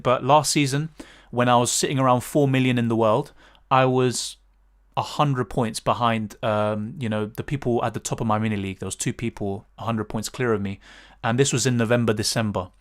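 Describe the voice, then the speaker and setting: A man with a British accent, chatting casually on a livestream and answering viewer questions with lots of fillers. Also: mid-range voice. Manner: chatty, thinks aloud with many fillers and restarts. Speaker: fantasy football streamer.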